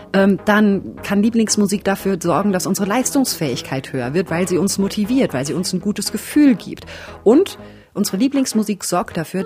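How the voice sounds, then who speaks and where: A woman's voice: mid-range voice, a little mellow. A woman, a radio journalist, talking through her music challenge in a chatty, frank and self-mocking way. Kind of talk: casual first-person reportage.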